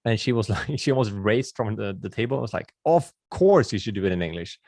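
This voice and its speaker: A man's voice: on the low side, lively intonation, a little fast, a little mellow, no room sound, clean studio sound. A man from Sweden with a Swedish accent, hosting a webinar and chatting casually as he answers viewer questions, often restarting his sentences. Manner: friendly and chatty, with frequent restarts and filler words.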